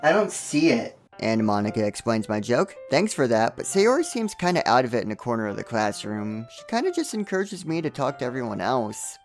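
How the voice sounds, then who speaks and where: A man giving comedic scripted narration as a game reviewer, with sarcastic asides and exaggerated reactions. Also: medium pitch. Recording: music under the voice. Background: background music.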